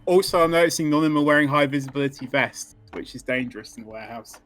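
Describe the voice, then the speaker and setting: A man with a mid-pitched voice chats through a video game as co-host. He jokes in a dry, deadpan way, like an official filing a complaint. Game music is clearly audible behind him. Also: fast talker. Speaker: let's play co-host. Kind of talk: casual joking gameplay commentary.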